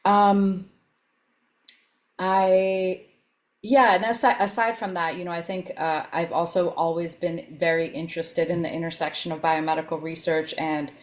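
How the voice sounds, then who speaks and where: A woman's voice: lowish voice, flat delivery, a little slow. A woman giving a reflective, informal career talk on a panel, hesitantly searching for her words. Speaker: panelist working in research equity.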